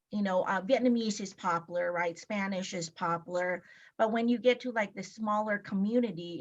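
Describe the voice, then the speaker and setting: A woman from Laos speaks on a webinar panel, often pausing with ums and restarting her sentences. She sits at a middle pitch for a woman. The call audio sounds dull and lo-fi. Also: a bit bright. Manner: hesitant, many ums and restarts. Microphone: video call.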